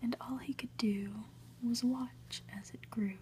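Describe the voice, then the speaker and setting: A woman narrating a storybook in a breathy ASMR whisper, reading gently and deliberately. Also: fairly high pitch, fairly even intonation. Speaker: ASMR reader. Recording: faint background noise.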